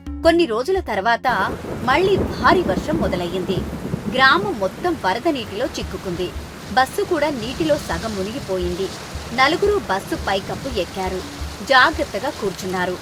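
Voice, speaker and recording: A woman's voice: on the high side, sing-song, normal speed, music in the background. A woman storyteller reading a scripted children's moral story.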